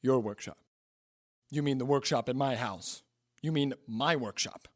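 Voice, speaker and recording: A man's voice: medium pitch, unhurried, no echo, close to an everyday voice. Man acting a ranting, hapless scientist, dry sound. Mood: outraged disbelief. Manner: exasperated, incredulous ranting. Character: hapless, drunken, ranting male scientist-inventor. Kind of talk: audio drama acting.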